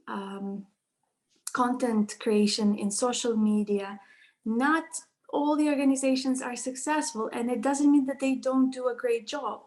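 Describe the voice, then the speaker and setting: A woman's voice: a little high, a little mellow, poor audio. A woman explaining her point as a panelist in a discussion.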